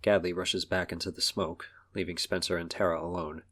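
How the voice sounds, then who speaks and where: A man's voice: deep voice, level tone, a little fast, fairly bright tone. A man narrating scripted action scenes for a superhero audio drama.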